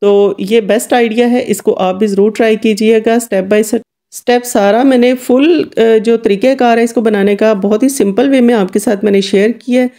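A woman's voice: fairly high pitch, fairly even intonation, moderate pace, warm tone. A woman giving an informal, chatty step-by-step DIY voice-over as a tutorial host. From Kashmir.

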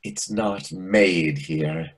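A man in his thirties playing a fussy, condescending waiter with a deliberate, pompous manner in a comedy reading over a video call. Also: deep voice, husky, heavily acted. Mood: smug. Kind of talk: readers theatre comedy acting. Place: indoor room on a video call. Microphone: video call microphone.

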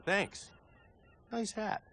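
An actor with an American accent playing a cocky, flippant action movie star in a video game, wisecracking and smug. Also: male voice, high voice, drawn-out words, a bit bright, a little hiss or hum, heavily acted. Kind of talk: video game cutscene acting. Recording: music behind the voice.

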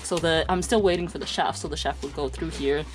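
A young woman narrating her own do-it-yourself boat work in a relaxed, explanatory way, pausing often with "uh" and "like". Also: low-pitched, music in the background. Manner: relaxed and explanatory, with many "uh" and "like" pauses. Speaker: solo sailor building her own electric boat. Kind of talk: casual DIY narration.

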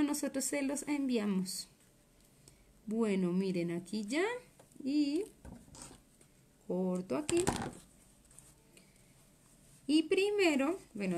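High-voiced woman teaching sewing. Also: darkish tone. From Colombia. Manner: chatty, explains while working with her hands. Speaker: sewing instructor. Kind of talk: live craft class. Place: indoor workroom at a cutting table.

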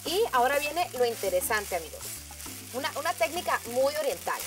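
Woman, cooking show host, fairly high voice, friendly, upbeat, step-by-step, soft music behind. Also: very animated, brisk. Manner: warm, upbeat, addresses viewers as friends. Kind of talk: scripted cooking demonstration.

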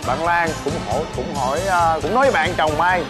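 A man's voice: a little high, a little fast. A man in his thirties hosting a comic family game show, teasing the others and reading out tasks in a playful way. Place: indoors in a large shop or showroom. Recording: music bed under the speech. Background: loud background music.

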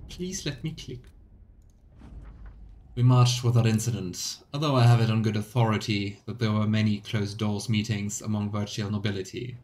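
A man's voice: lowish voice, a little mellow. A man in his thirties giving let's play commentary, musing to himself in short remarks and reading game text aloud. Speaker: game streamer. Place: small room indoors at home. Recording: clear background noise, game ambience.

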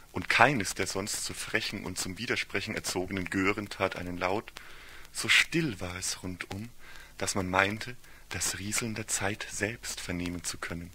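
A man's voice: lowish voice, bright, forceful tone, breathy edge. A man narrating a literary audio story in a solemn, ornate fairy-tale storytelling manner.

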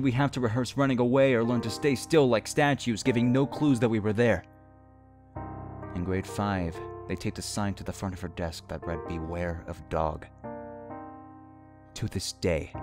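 A man giving a dramatic spoken-word poetry reading in an impassioned, theatrical and deliberate way. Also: on the low side. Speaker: poetry performer. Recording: musical score under the voice. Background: music.

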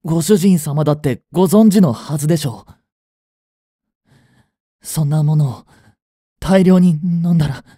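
Slow, mellow male android servant, acted by a man. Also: fairly high pitch, dry recording, clean studio sound. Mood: feverish, distressed. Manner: formal, breathy, halting. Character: male android servant, polite, devoted, overcome by feverish love. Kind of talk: Japanese romantic audio drama acting.

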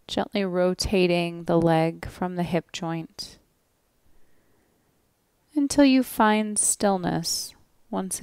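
A woman leading a guided relaxation as a yoga nidra instructor, speaking gently and soothingly with long pauses. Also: lowish voice, smooth, no echo, studio quality.

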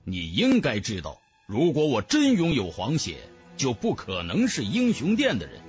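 A man's voice: mid-range voice, huge pitch swings, moderate pace, darkish tone, highs cut off, soft background music, pitched below the performer's own voice. A man voicing a shrewd, frank young fantasy hero with a probing manner in an audiobook.

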